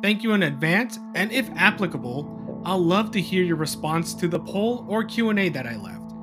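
A man with a notably high voice hosts a solo podcast. He talks casually and informally, giving exasperated opinion commentary. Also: animated, moderate pace, over music. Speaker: podcast host.

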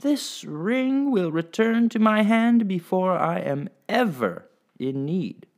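Man doing a shrill proud lady for a read-aloud story, high, impatient, haughty. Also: lively intonation, slow, exaggerated voice. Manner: shrieking, impatient.

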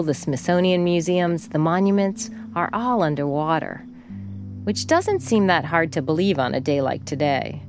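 A woman radio reporter narrating a feature and questioning people outdoors in a measured, probing way. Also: low-pitched. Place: outdoors by a flooded park, traffic nearby.

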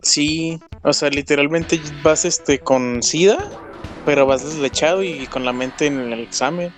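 A young man with a Mexican Spanish accent jokes crudely among friends. His voice is a little high for a man. Faint music runs underneath. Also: measured pace. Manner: crude, joking. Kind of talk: casual banter among friends.

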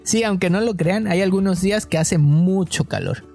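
A man with a Mexican coastal accent co-hosts a casual podcast. He tells stories in a relaxed, slangy way. Faint music plays behind him. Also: high voice, moderate pace, gravelly. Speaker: podcast co-host.

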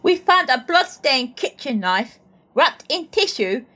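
Woman as a bossy security guard, high bright voice, lively and authoritative. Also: exaggerated voice. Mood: stern. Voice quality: brisk, authoritative. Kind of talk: amateur audio drama acting.

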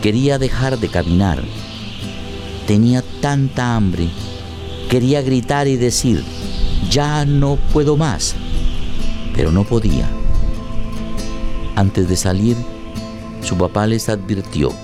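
A man's voice: medium pitch, slow, pauses often. A man reading dramatic scripted narration for a radio drama. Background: music.

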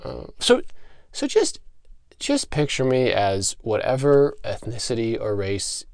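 A man with a Midwestern American accent hosting a solo comedy podcast, speaking in a halting, stammering, mock-earnest and self-important deadpan. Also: mid-range voice, dry recording, studio quality. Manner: halting, stammering delivery with repeated words. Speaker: podcast host.

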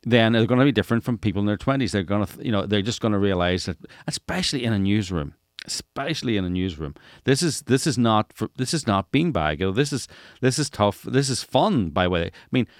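Middle-aged man, slightly low pitch, dry recording, emphatic conversational interviewer, repeats phrases. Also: expressive, quick pace, no echo. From Northern Ireland. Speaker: interviewer, podcast host. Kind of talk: casual conversational interview talk.

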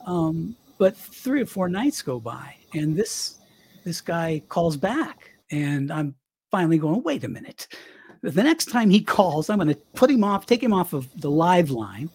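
An older man, a former radio DJ, telling stories in a chatty, animated way with a young-sounding voice. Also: on the high side, a little slow, breathy edge, dry recording. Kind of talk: casual storytelling interview.

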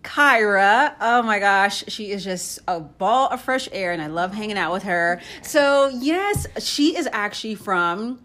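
A woman's voice: mid-range voice, lively intonation, a little slow, hardly any pauses, fairly bright tone. A woman hosting a casual chat show, enthusiastic and gushing, answering with lots of "wow" and "awesome.". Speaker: host.

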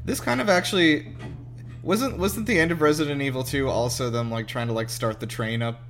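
A man's voice: medium pitch, brisk, fairly bright tone. A man reacting casually to a film in an incredulous, questioning manner. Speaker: host of a movie reaction show. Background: film soundtrack playing behind.

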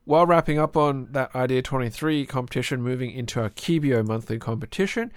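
A hoarse man co-hosting a podcast, chatting casually and rambling with fillers. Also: mid-range voice, brisk, no echo. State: hoarse, sore throat. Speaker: podcast co-host.

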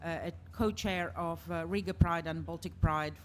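A woman's voice: on the low side, fairly even intonation, normal speed. A woman from Latvia moderating a live panel discussion, speaking with frequent hesitations and filler sounds. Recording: live event recording, faint background noise.

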